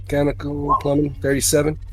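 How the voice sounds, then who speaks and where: A man's voice: a little high, monotone, fast talker, breathy edge. A man playing a tabletop role-playing game, chatting and role-playing in a playful, informal way. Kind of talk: casual chat and role-play at a game.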